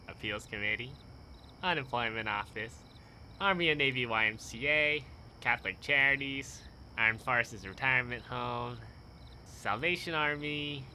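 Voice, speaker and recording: An actor playing a broke war veteran in a radio play. His voice is strikingly bright and tense, the delivery wry and deadpan, over faint background noise. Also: mid-range voice. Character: destitute, wry war veteran, bitterly ironic.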